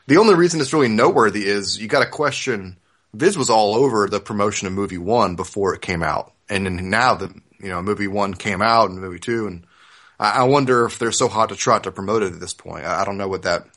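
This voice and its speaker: Man, slightly deep voice, casual podcast host, wry, hedging, conversational. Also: brisk, a bit bright. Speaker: podcast host, fan forum operator. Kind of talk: casual news discussion.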